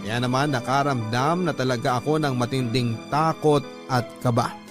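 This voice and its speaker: A man's voice: mid-range voice, normal speed, lightly acted. A Filipino man narrating a letter dramatically in the first person, in radio drama style. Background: music bed.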